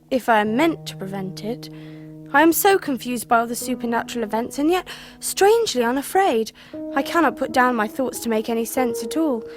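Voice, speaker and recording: A woman plays an earnest Edwardian girl of thirteen with a high voice and an English accent. She speaks with formal, well-bred diction in the manner of a period radio drama. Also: lightly acted.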